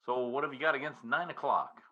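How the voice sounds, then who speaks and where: A man playing a bashful, folksy reporter courting his sweetheart in an old-time radio comedy, with a hesitant, halting manner. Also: medium pitch, pushed and bright, lo-fi sound. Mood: eager. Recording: video-call radio play recording.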